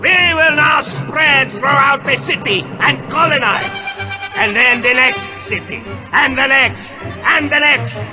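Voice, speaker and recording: An actor playing a grandiose, menacing vampire leader in an audio drama, orating in a pompous, declamatory way. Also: male voice, very high voice, slow pace, music in the background. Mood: gloating menace. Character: grandiose menacing male vampire leader rallying his kind. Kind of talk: theatrical audio drama oratory.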